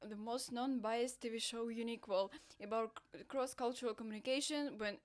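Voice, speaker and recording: A woman's voice: fairly high pitch, steady tone, fairly quick. Eastern European woman hosting a chat show. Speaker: host. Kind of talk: hosting an interview.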